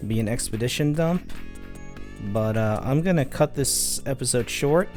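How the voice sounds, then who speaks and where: A man's voice: medium pitch, lively intonation, normal speed, darkish tone, faint music behind. A man hosting a let's play, giving wry, laid-back commentary with plenty of fillers. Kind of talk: casual game commentary.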